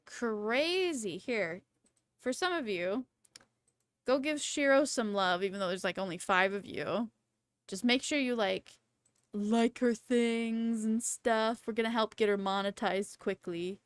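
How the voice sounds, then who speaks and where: A woman in her twenties chatting casually on a livestream in a rambling, playful, excitable and slangy way. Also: on the high side, measured pace, smooth, dry recording. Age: 20s. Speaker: livestreamer, VTuber host.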